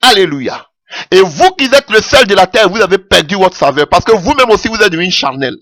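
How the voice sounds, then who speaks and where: A man's voice: lively intonation, fairly quick, pushed and bright, no room sound, clean studio sound, soft background music. A man preaching a sermon with passion, calling out alleluia often as his voice rises. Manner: impassioned, rising pitch with frequent alleluias.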